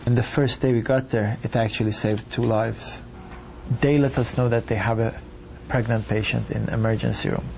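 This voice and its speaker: A doctor from Iran telling his story in an earnest, measured way. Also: medium pitch, fairly even intonation, vocal fry, darkish tone, slight background noise. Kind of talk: reflective personal account.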